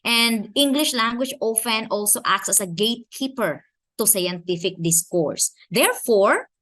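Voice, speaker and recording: A woman from the Philippines with a Filipino accent, giving an academic conference talk in a measured way and slowing down to stress key phrases and questions. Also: fairly high pitch, a bit bright. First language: Filipino language. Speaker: conference speaker.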